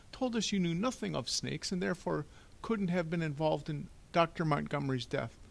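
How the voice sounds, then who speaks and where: A man voicing a fussy, flustered middle-aged gentleman in a storybook, hesitant and sputtering, with coughs and throat clearing. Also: fairly high pitch, normal speed, voice pushed up. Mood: exasperated, demanding.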